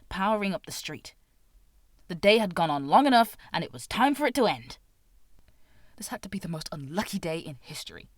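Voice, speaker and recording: A woman narrating fiction as an amateur audiobook reader, with an expressive, theatrical delivery. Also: deep voice, dry recording. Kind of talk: dramatic scripted narration of fan fiction.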